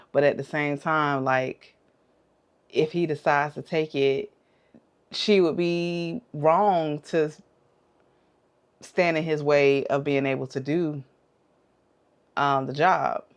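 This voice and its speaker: A woman giving casual, reflective commentary as a reaction host, halting and thoughtful. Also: deep voice, steady tone, clear, resonant tone.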